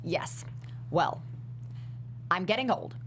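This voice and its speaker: A woman's voice: lowish voice, lively intonation, takes it slowly, a bit bright, whispered, exaggerated voice. A woman reading an aging widowed mother in a scripted table read, needy and roundabout in how she talks. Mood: rambling, coy.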